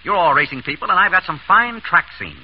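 An actor playing a wry, decisive doctor turned amateur detective in a crisp, authoritative 1930s radio drama style. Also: male voice, high-pitched, expressive, fast. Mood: deliberate, pointed. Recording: dull, noisy 1930s radio recording.

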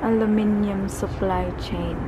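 A woman's voice: medium pitch, steady tone, a little slow. A woman with a Filipino accent vlogging casually outdoors, reading signs aloud, exclaiming and mixing in Cebuano. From Philippines. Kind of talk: casual chat. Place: outdoors at an industrial harbour lookout. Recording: outdoor phone recording with noise.

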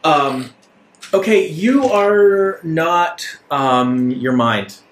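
A man as a halting, evasive secret guide. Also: on the high side, lively intonation, fairly bright tone, heavily acted. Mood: evasive, explaining. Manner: halting, explanatory. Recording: echoey room.

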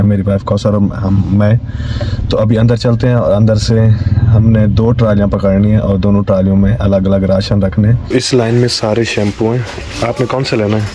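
Family vlogger, male, 40s, lowish voice, chatty running commentary, phone at arm's length, noisy background. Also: dark tone. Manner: chatty, narrates every step, urges others to hurry. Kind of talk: casual running vlog commentary. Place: in a car, at home and in a supermarket. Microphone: phone camera held at arm's length. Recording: noisy handheld phone audio.

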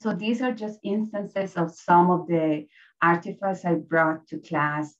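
Low-voiced woman, roomy distant sound. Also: fairly even intonation, measured pace, dull audio. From Colombia. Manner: hesitant, many fillers and restarts. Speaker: teacher presenting at a conference. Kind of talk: conference presentation.

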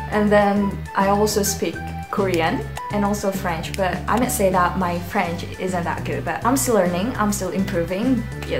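Chatty young Indonesian woman vlogging. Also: fairly low pitch. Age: young adult. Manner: chatty, rambling, self-deprecating. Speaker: channel host. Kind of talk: casual vlog talk to camera. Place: small bedroom, indoors. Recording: roomy echo with music behind.

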